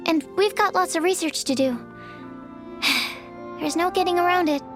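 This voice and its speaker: A shy young alchemist speaks in a very high, soft voice. She is earnest and polite, and often hesitates or restarts mid-sentence. Faint game music sits behind her. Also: unhurried. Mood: timid. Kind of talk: video game dialogue.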